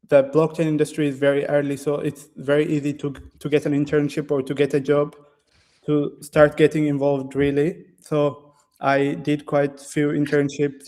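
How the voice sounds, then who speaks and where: A young man from Ethiopia in his twenties, a guest developer, answering interview questions in a classroom with halting phrasing, many fillers and restarts. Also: on the high side, a little mellow. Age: 20s.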